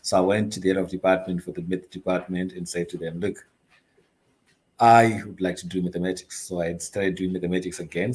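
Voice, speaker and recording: A man's voice: low voice, a little hiss or hum, rough recording. A middle-aged man from Zimbabwe, a company chief being interviewed, telling his story in a hesitant, chatty way with frequent ums and light laughs. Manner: hesitant, many ums and uhs, laughs easily. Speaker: guest, investment advisory CEO.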